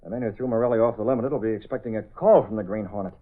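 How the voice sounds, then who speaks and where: An actor playing a shrewd, decisive newspaper boss with a brisk, authoritative manner in an old radio drama. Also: male voice, on the low side, natural voice. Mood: confiding, purposeful. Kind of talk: 1930s-40s radio acting. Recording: tinny phone-like old radio recording.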